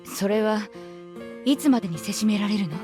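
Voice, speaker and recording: A woman's voice: mid-range voice, expressive, racing, music in the background, natural voice. A woman voicing a wary, curt young mother in a Japanese visual novel, guarded and businesslike.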